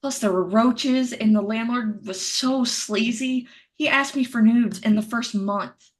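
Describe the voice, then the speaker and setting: An actress playing a chatty, well-meaning young wife in a virtual staged reading of a play. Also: female voice, on the high side, unhurried, lightly acted. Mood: bright, eager.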